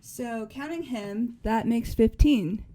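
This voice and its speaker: Mellow woman reading game dialogue. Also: on the high side, slow, low-quality recording. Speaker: friend reading parts in a game playthrough.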